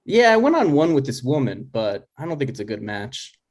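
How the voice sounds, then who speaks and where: Man playing an exasperated son, very animated pitch. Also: brisk. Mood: reluctant. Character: exasperated, dry, reluctant adult son, struggling writer. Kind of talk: comedy script table read, naturalistic.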